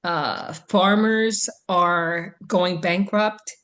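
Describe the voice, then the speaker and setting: A woman co-hosting a podcast, speaking thoughtfully and hesitantly with frequent pauses. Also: fairly low pitch, fairly even intonation, fairly bright tone. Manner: slow, thoughtful, hesitant with many ums. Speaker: podcast co-host. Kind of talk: casual chat.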